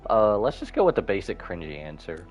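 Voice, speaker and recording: A man's voice: low voice, very animated, a little fast. A man giving casual live commentary as he streams a game. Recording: dull audio over game music. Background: game music.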